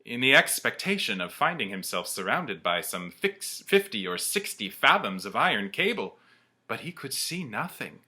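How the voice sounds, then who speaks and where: A man's voice: mid-range voice, sing-song, moderate pace, bright, forceful tone. A man narrating a classic story from the page as a community reader.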